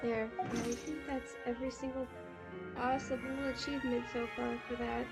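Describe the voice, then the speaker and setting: A young woman chats casually through a live game stream. Her voice is fairly high, and loud game music plays behind her commentary. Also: steady tone, moderate pace, vocal fry.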